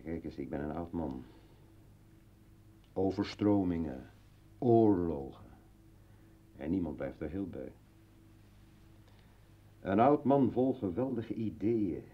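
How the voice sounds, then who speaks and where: An actor playing a brooding, philosophical old man with bitter irony in a declamatory, halting radio play monologue. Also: deep voice, lively intonation, full character voice. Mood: musing, ironic. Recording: dull vintage radio drama recording.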